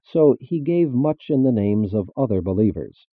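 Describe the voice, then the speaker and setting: Male narrator, tinny narrow-band audio, lively intonation, formal religious reading. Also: mid-range voice, normal speed, no room sound. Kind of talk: scripted narration of a religious text. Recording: muffled phone-like band-limited audio.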